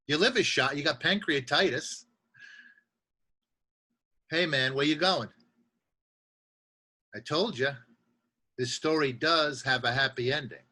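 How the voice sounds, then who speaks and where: An actor playing a streetwise, self-deluding drinker, husky and sardonic, in a punchy theatrical monologue. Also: male voice, mid-range voice, sharp tone. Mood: sardonic, pleading. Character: streetwise, self-deluding hard-drinking man confessing his downfall.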